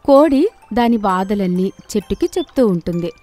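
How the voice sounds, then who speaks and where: A female narrator reads a scripted moral tale for children. Her pitch is middling. Light, tinkling music plays underneath. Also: animated, moderate pace, dark tone. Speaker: storyteller, narrator.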